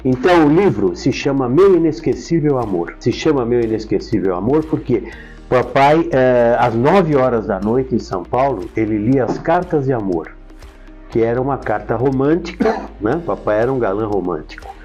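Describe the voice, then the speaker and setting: Older Brazilian man, mellow voice. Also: mid-range voice, animated, moderate pace, husky. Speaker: interview guest. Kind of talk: conversational storytelling interview. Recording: dull lo-fi sound, faint music.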